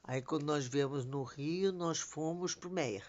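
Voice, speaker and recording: A woman's voice: low voice, unhurried, darkish tone, muffled recording. An older woman, a grandmother, telling family memories in a rambling, casual conversation. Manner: rambling storytelling.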